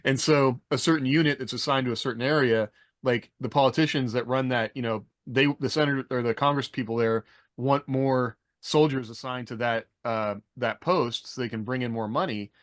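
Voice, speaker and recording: A man's voice: medium pitch, normal speed, no room sound. Explanatory man in dry, close sound. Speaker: podcast panelist, former army officer. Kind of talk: casual discussion.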